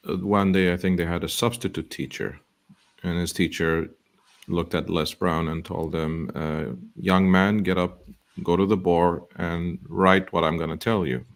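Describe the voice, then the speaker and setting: A man hosting a motivational talk show, speaking earnestly and slowing down to stress quotations. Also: sits low, little pitch movement. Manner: earnest, quotes sayings, slow emphasis.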